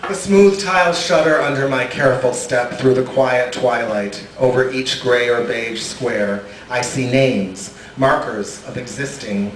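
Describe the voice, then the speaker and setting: A man performing as the featured poet at an open mic, singing a chant and then reading a poem slowly. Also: mid-range voice. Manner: slow, measured reading. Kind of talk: sung chant and poetry reading. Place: cafe performance room. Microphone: distant room mic. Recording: echoey room, far from mic, noisy.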